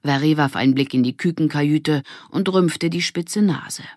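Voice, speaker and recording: A woman narrating a children's audiobook in an expressive storytelling manner. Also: sits low, normal speed, no echo, clean studio sound.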